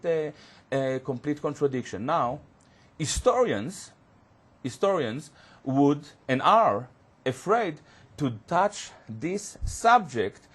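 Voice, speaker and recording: A man whose first language is Hebrew, a guest on a studio panel discussion, who talks haltingly and searches for words with many fillers. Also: mid-range voice, slightly rough. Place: community TV studio.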